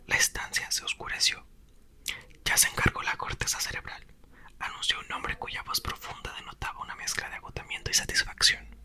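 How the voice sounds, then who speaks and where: A man reading a novel aloud in a soft, unvoiced ASMR whisper. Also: very high-pitched. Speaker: ASMR reader.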